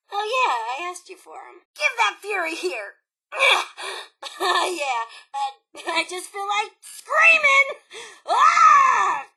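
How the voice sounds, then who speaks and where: Manic sarcastic robot raider lady from a video game, bright raspy voice thats all over the place, super flippant. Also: high-pitched, heavily acted, poor audio. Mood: greedy, impatient, then wild screaming. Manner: sassy, flippant, swinging between mock sweetness and snark. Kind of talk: video game character voice lines.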